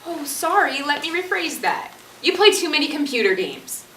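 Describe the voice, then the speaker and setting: Woman acting as exasperated zombie-apocalypse survivor, high emphatic voice, amateur theatre, roomy distant recording. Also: big pitch swings, normal speed, sharp tone, exaggerated voice. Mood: sarcastic. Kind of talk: amateur one-act stage play. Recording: distant echoey stage recording with noise.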